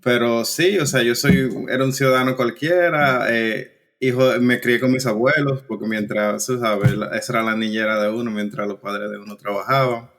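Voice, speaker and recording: A young man with a Dominican accent, telling his story as an interview guest in a relaxed, halting way with frequent restarts. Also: mid-range voice. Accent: Dominican Spanish. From Dominican Republic. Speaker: guest engineer. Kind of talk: casual conversational interview.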